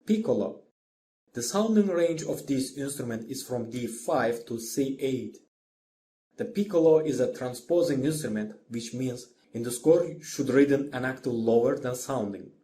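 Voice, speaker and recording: A man's voice: medium pitch, measured pace, warm tone, a little hiss or hum. A man with an Azerbaijani accent narrates a scripted lecture as a teacher, methodically, in careful short phrases. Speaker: orchestration teacher.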